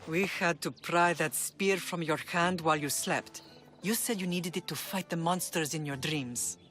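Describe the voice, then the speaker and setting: A woman's voice: lowish voice, normal speed, a bit bright, faint music behind, close to an everyday voice. An actress playing a middle-aged Spartan mother in a video game, dignified and resolute with a tender side. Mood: tender, wistful. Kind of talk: dramatic video game cutscene acting.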